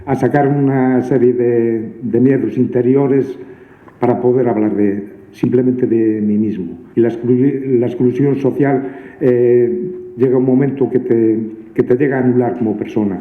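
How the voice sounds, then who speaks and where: A man giving a reflective, somewhat halting personal testimonial. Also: medium pitch, fairly even intonation. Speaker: community radio participant. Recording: phone-like, echoey playback.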